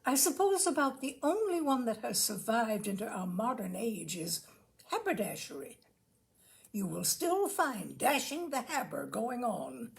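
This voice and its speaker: Expressive woman reader with a creaky voice. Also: fairly high pitch, a little slow. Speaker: host and reader of a short-story reading show. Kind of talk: live literary reading aloud with informal introductions.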